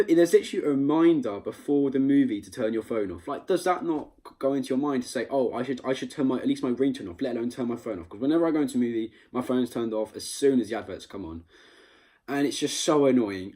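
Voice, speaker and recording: A man's voice: mid-range voice, a little mellow. A young man with a London accent talking casually and emphatically, with some swearing, as an amateur film reviewer in a vlog.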